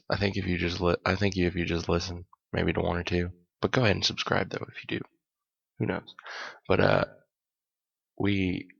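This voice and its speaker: A very low, gravelly male voice, hoarse and a bit worn, talking loosely through a solo podcast. He rambles, stops to fix his own words and drops small jokes. The sound is dull and lo-fi, like a simple home setup. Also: a bit bright. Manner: rambling and self-correcting, with light jokes. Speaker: podcast host. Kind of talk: casual solo podcast talk.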